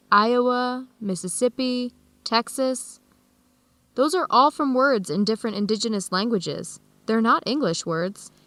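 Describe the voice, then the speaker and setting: An actress playing a friendly, even-tempered American woman who explains things in a measured way in an educational radio drama. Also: fairly high pitch, no room sound, natural voice. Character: friendly American woman hosting Ethiopian friends, easygoing, tolerant. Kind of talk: educational radio drama dialogue, clearly worded for learners.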